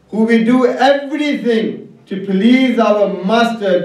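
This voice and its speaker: A man's voice: high voice, darkish tone, far from the mic. A man preaching an Islamic sermon to a gathering, urging listeners on with rhetorical questions. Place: religious hall, public address. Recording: very echoey hall, off the mic.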